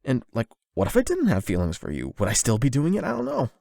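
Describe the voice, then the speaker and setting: A man performing an intimate confession roleplay as a nervous, lovestruck young man, speaking in a halting, self-doubting, conversational way into a close microphone. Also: medium pitch, wildly swooping pitch, creaky, a little mellow, dry recording. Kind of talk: emotional confession roleplay, ASMR-style monologue.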